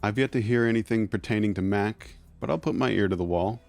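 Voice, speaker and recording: A very deep-voiced underground fixer acted by a man speaks in measured, formal phrases. He is guarded and talks down to people, in audio-drama style, with faint music behind him. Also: creaky, a little mellow. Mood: cool, courteous. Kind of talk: scripted audio drama acting.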